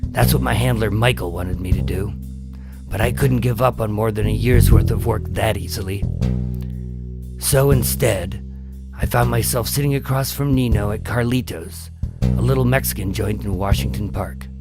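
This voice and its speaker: An actor playing a wary, wry undercover FBI agent in a noir-like radio drama. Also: male voice, fairly low pitch, normal speed, over loud music, natural voice. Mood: grim, wry. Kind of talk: noir-like scripted narration and radio drama acting.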